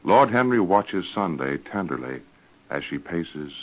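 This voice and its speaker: An American man reading scripted narration and advertising as an old-time radio serial announcer, with emphatic, deliberate enunciation. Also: sits low, sing-song. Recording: dull, band-limited vintage radio recording.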